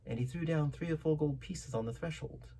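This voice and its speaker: A man reading a classic story aloud as a narrator, with theatrical, measured phrasing and dramatic delivery. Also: on the high side, mellow, slightly rough. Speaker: audiobook narrator.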